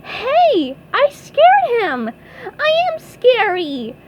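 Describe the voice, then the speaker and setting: Lady doing a squeaky excitable little cartoon sponge guy, super high and bouncy, bit lo-fi. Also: a little slow, full character voice. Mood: excited, triumphant. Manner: excitable, exclaiming. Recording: dull lo-fi, faint background noise.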